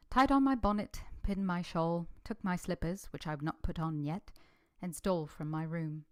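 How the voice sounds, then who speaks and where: A woman's voice: fairly low pitch, natural voice. A woman playing an earnest, steadfast young governess who tells her own story in a radio drama, restrained and sorrowful. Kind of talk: period radio drama narration and acting.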